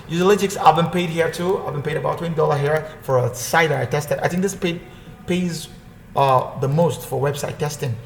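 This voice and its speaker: A man with a fairly high voice walks through a screen recording in a loose, rambling way, often restarting and repeating himself. His voice rings in an echoey room, with a faint hum underneath. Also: creaky. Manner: rambling, self-correcting, repeats phrases. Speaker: online earning tutorial host. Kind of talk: casual screen-share walkthrough.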